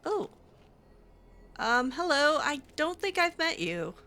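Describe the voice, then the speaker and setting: A woman's voice: high voice, very animated, slow, sharp tone. A woman doing casual, improvised roleplay commentary over a video game, chatty and playful with a hesitant way of talking. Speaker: gamer roleplaying a character.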